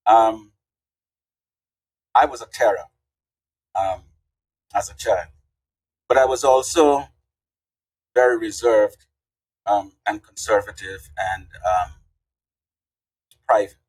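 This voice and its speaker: A man's voice: lowish voice, takes it slowly, long pauses, breathy edge, low-quality recording. An older man, telling folksy stories as a podcast guest. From Guyana. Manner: animated, folksy storyteller. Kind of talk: casual interview storytelling.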